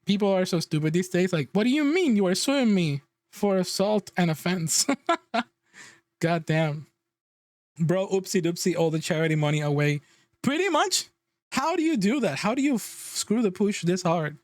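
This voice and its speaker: A man's voice: high voice, expressive, moderate pace, no echo, clean studio sound. A man livestreaming a casual, exasperated reaction rant, emphatic and freely swearing. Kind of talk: casual ranting reaction commentary.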